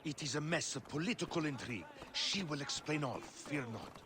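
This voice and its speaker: An actor playing a furtive, florid poet in ancient Alexandria, expressive and conspiratorial, in a video game. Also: male voice, fairly high pitch, normal speed, close to an everyday voice. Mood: grave, discreet. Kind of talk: dramatic video game acting. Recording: long reverb, clear background noise.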